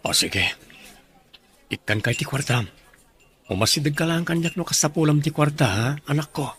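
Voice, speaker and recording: A man's voice: a little high, lively intonation, moderate pace, fairly bright tone. A man acting in an Ilocano radio drama as a kindly, generous father. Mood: concerned, indulgent.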